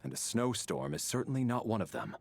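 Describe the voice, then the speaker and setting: An actor playing a stern, impatient military officer in a video game, speaking in a formal, clipped, disdainful way. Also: male voice, mid-range voice, big pitch swings, a little fast, creaky. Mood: stern, irritated. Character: haughty, impatient Snezhnayan officer, stern and duty-bound.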